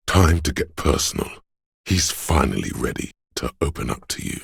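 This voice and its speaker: Very deep, husky, sly inner schemer. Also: male voice, very animated, no room sound, exaggerated voice. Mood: coaxing, knowing. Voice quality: gravelly, husky bass. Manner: insinuating, measured, coaxing. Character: smooth, sly, scheming inner voice of persuasion, male. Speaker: video game voice actor. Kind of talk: scripted second-person game narration.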